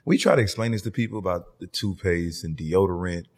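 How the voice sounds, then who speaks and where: A man in his thirties conducts a casual interview with a fairly low, husky voice. He is laid-back and slangy, affirming as he goes, and his voice rings with long reverb. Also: a little fast. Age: 30s. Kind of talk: casual chat.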